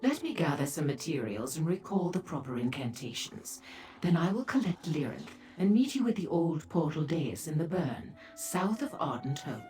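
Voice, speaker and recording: A woman's voice: low-pitched, big pitch swings, moderate pace, a little mellow, faint music behind. A woman voicing a sly, seductive and haughty female demon dealer in a fantasy video game, with a teasing manner. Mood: haughty, wry.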